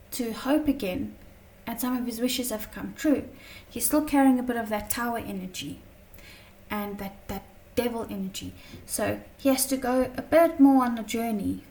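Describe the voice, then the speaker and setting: A woman teaching a tarot lesson in a chatty, casual way, her voice slightly croaky from a long day of talking, often checking in with "okay?". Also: fairly high pitch, a little mellow, recorded from a distance, slight background noise, rough recording. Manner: chatty, many fillers and "okay?" check-ins.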